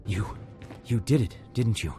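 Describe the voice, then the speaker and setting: An actor playing an anxious, craven young Sith trainee in a video game, earnest and faltering, with music underneath. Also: male voice, on the low side, expressive, a little slow, mellow. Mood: desperate, resigned. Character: anxious, defeated male Sith acolyte, craven and grateful. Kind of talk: scripted video game drama.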